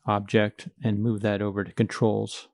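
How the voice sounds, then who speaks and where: A man's voice: on the low side, level tone, moderate pace, gravelly, no echo. Man giving a dry-recorded coding walkthrough. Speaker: coding tutorial instructor. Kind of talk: screencast coding walkthrough, explaining step by step.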